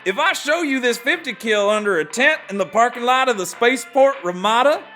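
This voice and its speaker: High, bright, folksy shady arms dealer. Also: male voice, expressive, brisk, soft background music, full character voice. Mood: sly, folksy. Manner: folksy, chummy sales patter. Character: folksy, cheerful, shady male weapons dealer. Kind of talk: scripted comedic audio drama.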